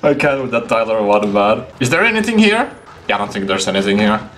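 Young male streamer, very lively pitch swings, casual reactive commentary, game noise behind. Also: a little fast. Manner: reactive, exclamatory, talks to chat. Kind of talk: casual live gameplay commentary. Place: small home room, indoors. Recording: clear background game noise.

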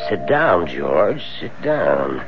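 An actor playing a considerate, politely hesitant senior law-firm boss in a 1970s radio drama. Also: male voice, low voice, sing-song, extremely slow, loud music behind the voice, exaggerated voice. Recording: muffled old radio broadcast, faint noise.

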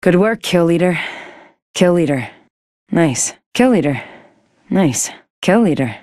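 A woman playing a cool, guarded fighter in a video game, understated and deadpan. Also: lowish voice, takes it slowly, dry recording, clean studio sound.

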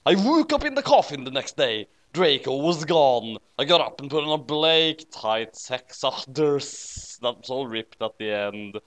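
A man reads a story aloud in a clear voice. His pitch moves around a lot, and his delivery is theatrical and mock-serious. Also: big pitch swings, unhurried, few pauses, fairly bright tone. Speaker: narrator reading a fan story aloud. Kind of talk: dramatic comic reading of a written story.

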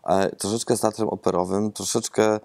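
Gravelly-voiced man musing with many pauses. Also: on the low side, flat delivery, a little mellow, no echo. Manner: rambling, thoughtful, many hesitations and repeated words. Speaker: theatre director. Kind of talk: interview answers. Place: empty theatre auditorium, seated among red seats.

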